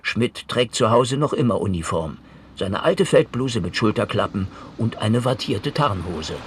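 An actor playing a sober magazine reporter, reading a factual report in a husky voice for a radio play. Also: male voice, on the low side, animated, measured pace, a little hiss or hum.

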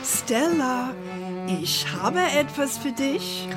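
An actress playing an older grandmother who tells a family story in a measured, dramatic way for a children's radio play. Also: high voice, lively intonation, loud music behind the voice, heavily acted. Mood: fond.

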